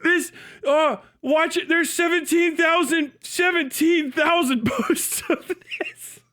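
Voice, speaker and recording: A man with a very high, bright voice gives casual live commentary. He is mocking and sarcastic, swears freely and gets giggly and excitable as he reacts. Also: slow. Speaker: livestream host.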